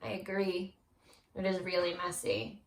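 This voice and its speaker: A woman's voice: fairly low pitch, level tone, measured pace, creaky, roomy sound, slight background noise. A woman teacher doing an interactive read-aloud for children, prompting them with questions and encouraging them.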